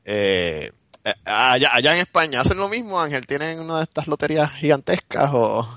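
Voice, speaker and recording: A man's voice: a little high, animated, fairly quick, sharp tone, dry recording. Puerto Rican man, close dry podcast sound. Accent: Puerto Rican Spanish. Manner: informal, slangy, joking. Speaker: podcast co-host. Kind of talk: casual podcast banter.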